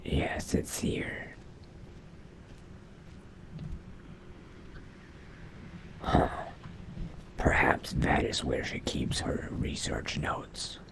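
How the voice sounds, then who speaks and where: A man voicing a brooding, hungry vampire mage in a slow, halting, low gravelly whisper, musing to himself in a video game roleplay. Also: natural voice, rough recording. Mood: sated, scheming.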